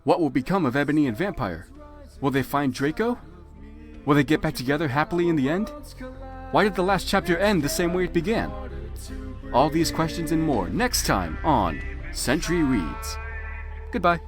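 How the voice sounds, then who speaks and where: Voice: medium pitch, expressive, moderate pace, over music. A narrator giving a theatrical, mock-serious dramatic reading of a story, with comic asides. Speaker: narrator of a fan fiction reading.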